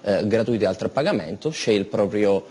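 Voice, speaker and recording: A man's voice: lowish voice, animated, fairly quick, warm tone, a little hiss or hum. Young man answering with eager restarts. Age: young adult. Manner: eager, many restarts and fillers. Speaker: company representative guest. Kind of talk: studio interview answers. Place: TV studio.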